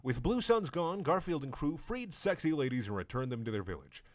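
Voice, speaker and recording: Deadpan man reading fan fiction. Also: mid-range voice, fairly quick, no echo. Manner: deadpan, mock-serious narration with wry asides. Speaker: dramatic reader of fan fiction.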